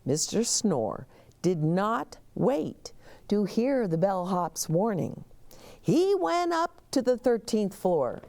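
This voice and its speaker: Very slow, mellow woman storyteller. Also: medium pitch, creaky. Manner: expressive, explaining and questioning as to young children. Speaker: story-time host. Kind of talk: children's read-aloud with chatty asides.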